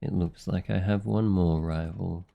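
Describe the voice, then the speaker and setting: A man playing a devoted, jealous young demon familiar in love, speaking softly and intimately in an ASMR boyfriend roleplay. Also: low voice, steady tone, a little slow, gravelly, highs cut off. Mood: reflective, bitter.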